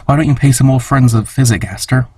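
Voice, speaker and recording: A man with a husky voice casually reading audience questions aloud for a comic dub. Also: medium pitch, fast, darkish tone, no room sound.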